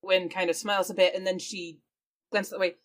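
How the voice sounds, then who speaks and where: A woman's voice: fairly low pitch, flat delivery, a little fast. A woman playing a tabletop role-playing game, casually narrating her character's actions and explaining things. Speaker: player.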